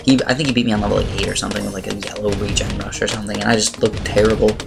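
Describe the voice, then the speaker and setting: A man with a slightly raspy voice giving casual, rambling game commentary as he explains strategy. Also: on the low side, little pitch movement, very fast. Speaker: gaming video host. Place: voice-over on screen-recorded gameplay. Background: music.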